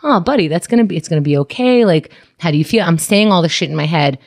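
A woman's voice: on the low side, expressive, quick pace. A woman co-hosting a casual podcast chat, telling personal stories in a candid, reflective way with blunt asides.

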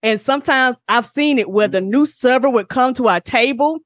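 A middle-aged woman co-hosting a casual podcast, telling stories in animated slang and swearing freely. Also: fairly high pitch, normal speed, no room sound, studio quality. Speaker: podcast co-host. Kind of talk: casual chat and storytelling.

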